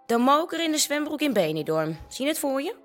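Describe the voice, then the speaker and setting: A sceptical, sharp-tongued young wife in a radio play. Her voice sits a bit high and moves animatedly. She grumbles sardonically, with some music underneath. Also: sing-song, brisk. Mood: sardonic. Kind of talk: naturalistic radio drama acting.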